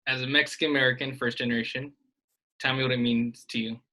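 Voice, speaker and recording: A young man asking interview questions over a video call in a relaxed, friendly way. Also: mid-range voice, quick pace, rough recording. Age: young adult. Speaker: interviewer, student.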